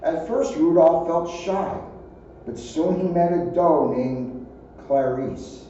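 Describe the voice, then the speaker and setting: A man's voice: on the high side, takes it slowly, warm tone. A man reading a children's storybook aloud, adding his own offhand asides. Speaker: police officer reading aloud. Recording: muffled, very echoey, distant, faint noise.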